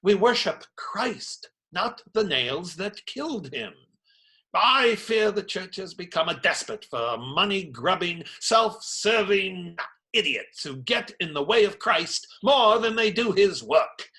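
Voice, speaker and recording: An actor playing a cynical, blunt and irreverent priest in a radio play, dry and sardonic with hesitations. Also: male voice, high-pitched, animated, takes it slowly, pushed and bright, heavily acted. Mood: cynical, candid.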